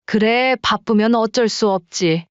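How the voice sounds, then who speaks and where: A woman voicing a caring middle-aged mother who speaks gently and with concern, recorded dry and slightly dull. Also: a little high, a little slow, clear, resonant tone, no room sound, lightly acted.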